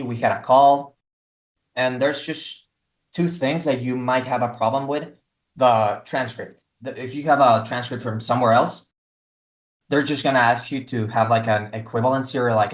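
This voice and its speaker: Young man, roomy sound. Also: medium pitch. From Mexico. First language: Spanish. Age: 20s. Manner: relaxed, rambling with many fillers. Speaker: guest. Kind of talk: casual interview.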